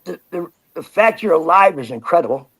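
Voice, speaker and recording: A man hosts a podcast in a rather high voice. He talks bluntly and casually, with slang and quick laughs, steering the conversation with questions. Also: lively intonation, fairly bright tone. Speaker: podcast host.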